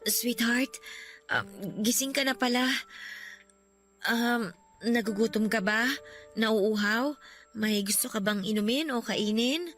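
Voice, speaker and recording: A fragile young wife in a radio drama, pleading and tearful as she blames herself. Her voice sits high and bright, with soft music under it. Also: takes it slowly, long pauses. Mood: hushed weary. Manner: tearful, pleading, self-blaming. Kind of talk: Filipino radio drama acting.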